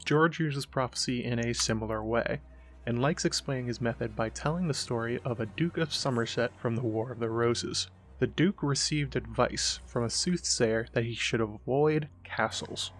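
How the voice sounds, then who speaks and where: A man's voice: mid-range voice, moderate pace. A man hosting a literary video essay, reading scripted explanatory narration.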